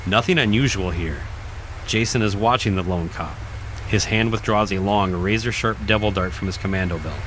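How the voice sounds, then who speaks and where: A man reading scripted horror narration in a dramatic, deliberate storytelling manner. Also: lowish voice, background noise. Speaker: narrator, podcast host.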